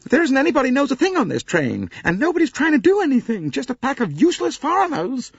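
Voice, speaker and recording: A man voicing a loud, indignant middle-aged American woman passenger who wails and complains, in an audiobook. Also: extremely high pitch, wildly swooping pitch, quick pace, breathy edge, no room sound, lo-fi sound, voice pushed up, heavily acted. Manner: wailing, complaining.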